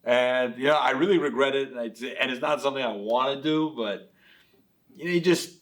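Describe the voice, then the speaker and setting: A man's voice: medium pitch, a little fast, a bit bright. Man, blunt and frank, casual group talk. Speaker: interview participant.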